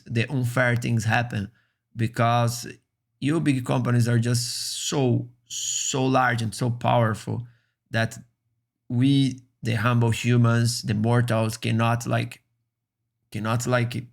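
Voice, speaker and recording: Man, native Brazilian Portuguese speaker, gravelly voice, earnest halting streamer talk. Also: medium pitch. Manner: earnest, halting, many restarts. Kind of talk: reaction stream commentary.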